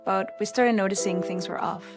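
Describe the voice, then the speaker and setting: A woman's voice: mid-range voice, moderate pace, natural voice. A woman playing a caring adult daughter in a testimonial ad, with piano behind her. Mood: concerned. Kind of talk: soft testimonial in a public service ad. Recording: ad with piano music underneath. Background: piano music.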